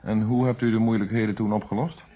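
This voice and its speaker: An actor with a Netherlands Dutch accent playing a stern but patient police inspector in a radio play, probing and matter-of-fact. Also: male voice, fairly low pitch, steady tone, fast talker, mellow, breathy edge. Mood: probing, measured. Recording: dull, band-limited old radio play.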